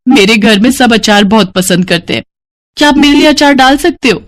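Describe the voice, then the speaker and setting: A woman's voice: fairly high pitch, expressive, a little fast, pitched above the performer's own voice, close to an everyday voice. A woman voicing an admiring, friendly young woman in a moral story. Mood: eager request.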